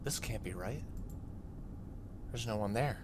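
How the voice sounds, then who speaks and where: A man's voice: on the low side, a little slow, noisy background, natural voice. Man reading as an uneasy youngster in a horror game. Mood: worried. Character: uneasy slumber-party youngster in a horror visual novel.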